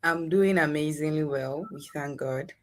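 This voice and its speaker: Ghanaian woman, low-pitched voice, young adult, interview guest, conversational answers. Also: measured pace. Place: in a room at home, online interview.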